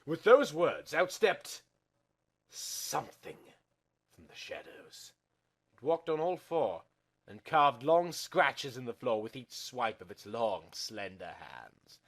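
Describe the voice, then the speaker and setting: A man narrates fiction in a theatrical reading style. His pitch swoops widely, and he overplays the drama, moving between hushed and loud delivery. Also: big pitch swings, slow pace.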